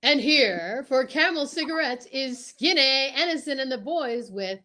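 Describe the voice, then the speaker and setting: A woman's voice: sits high, expressive, unhurried, pushed and bright. A woman announcing an old-time radio show, with casual asides.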